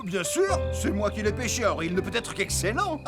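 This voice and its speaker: A man playing an excitable, boastful father in a children's cartoon dub, with a theatrical, exclamatory delivery. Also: sits high, normal speed. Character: excitable, boastful cartoon dad, proud of himself. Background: loud music.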